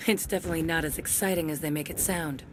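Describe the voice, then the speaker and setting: An actress playing a jaded, resentful security officer in a video game, terse and sardonic. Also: female voice, low voice, steady tone, fast, a little hiss or hum, close to an everyday voice. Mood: guarded, jaded.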